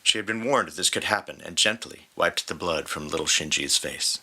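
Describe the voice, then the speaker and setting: A man's voice: low-pitched, normal speed, pushed and bright, breathy edge. A man reading a scripted story as a dramatic narrator, with music underneath. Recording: prominent music bed.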